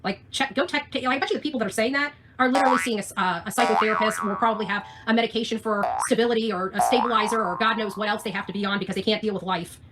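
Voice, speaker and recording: A woman's voice: fairly high pitch, steady tone, very fast, few pauses, background noise. A woman ranting on a livestream in a combative, sarcastic, foul-mouthed way. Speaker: livestream host.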